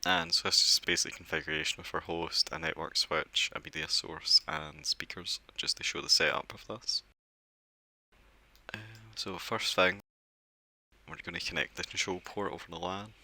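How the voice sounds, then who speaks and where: A man giving a relaxed step-by-step software setup walkthrough, explaining as he clicks through with occasional fillers. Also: sits low, moderate pace. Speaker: software tutorial presenter. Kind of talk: screen-recorded software walkthrough.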